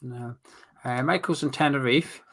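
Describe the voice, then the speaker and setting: A man's voice: mid-range voice, normal speed, fairly bright tone. A man co-hosting a casual live football chat, reading out viewer comments and teasing his co-host. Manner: reads out comments, teasing banter.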